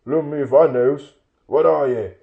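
A man's voice: on the high side, unhurried, creaky, narrow, tinny audio. A man voicing a big, drunken, dim troll in a storybook, suspicious and blustering, with coarse rustic speech. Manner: coarse rustic dialect, dropped aitches, blustering.

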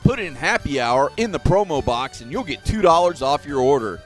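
Jokey, rambling podcast co-host. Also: male voice, a little high, very animated, a little fast, faint music behind. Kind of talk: casual chat.

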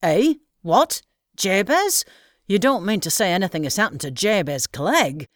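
Woman reading flustered old gentleman, exclaiming, voice pitched up, dry sound. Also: big pitch swings, slow, dry recording. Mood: alarmed. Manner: agitated, exclaiming breathlessly. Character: excited elderly gentleman, easy-going merchant.

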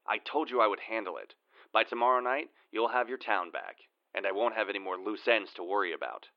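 Man playing glib, low-voiced ruthless schemer, muffled. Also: fairly quick, natural voice. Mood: breezy, dismissive. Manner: breezy, familiar, dismissive. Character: glib, ruthless male corporate schemer named Jerry. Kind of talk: audio drama acting.

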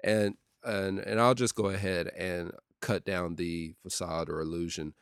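Young adult youth pastor, very low deep voice, dry close sound, relaxed podcast chatter. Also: male voice, unhurried, smooth, no room sound. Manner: steady and conversational with many ums and uhs. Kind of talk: casual solo podcast talk.

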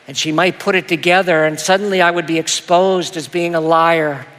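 High soft-voiced pastor guy, gentle and a bit self-mocking, big echoey church hall. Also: fairly even intonation. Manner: gentle, self-deprecating, halting with repeats. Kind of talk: sermon storytelling. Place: church auditorium. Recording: long hall reverb.

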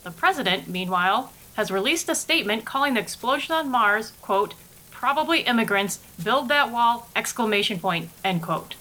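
A woman playing a brisk, deadpan news anchor in a spoof of 1930s radio. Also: fairly high pitch, poor audio. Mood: deadpan, newsreading. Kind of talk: scripted spoof radio newscast.

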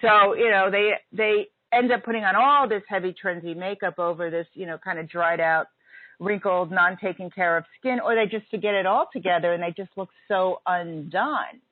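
A woman in her forties hosts a casual conversation, talking with chatty emphasis at a middle pitch. Her voice comes through dry and narrow, like a phone line. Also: normal speed, no echo. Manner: chatty, emphatic, laughs often. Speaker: host. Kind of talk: casual chat.

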